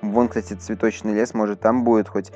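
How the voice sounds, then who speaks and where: A man's voice: fairly low pitch, little pitch movement, brisk, warm tone, soft background music. A man doing casual, chatty let's play commentary, talking to his viewers as he plays. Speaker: gaming YouTuber.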